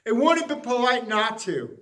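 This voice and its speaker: A man's voice: extremely high pitch, big pitch swings, unhurried, fairly bright tone, exaggerated voice. A man voicing a painfully shy, anxious little lady from a children's storybook, in a fretful, hesitant manner. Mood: anxious resolve.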